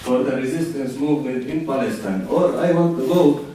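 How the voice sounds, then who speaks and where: A man's voice: mid-range voice, moderate pace, mellow, a little hiss or hum. A man from Finland in the audience, asking an earnest, emphatic question. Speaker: audience member. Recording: very echoey hall, far from mic.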